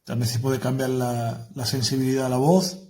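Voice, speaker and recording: Man, medium pitch, dark mellow tone, informal demo, faint noise and clicks. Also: little pitch movement, brisk. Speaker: product reviewer. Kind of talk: informal product demonstration.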